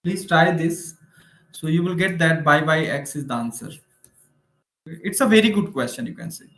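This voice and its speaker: Higher-pitched Indian man teaching in echoey room. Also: normal speed, fairly bright tone. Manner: explanatory, frequently checking with tag questions. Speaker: maths teacher for exam preparation. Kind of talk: informal online lecture, working through problems. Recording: roomy, echoing room sound.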